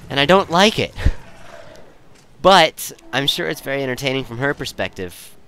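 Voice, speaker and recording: A man's voice: medium pitch, expressive, normal speed, bright, forceful tone, slight background noise, poor audio. A young man giving rambling, casual commentary in an easygoing, self-deprecating, digressive way. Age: young adult. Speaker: video creator and commentator.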